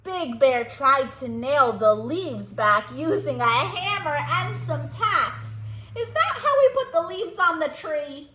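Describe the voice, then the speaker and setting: A woman's voice: high-pitched, expressive, slow, bright, forceful tone, a little hiss or hum. A woman hosting a children's storytime, reading aloud in a sing-song way and asking young listeners simple questions. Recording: roomy echo.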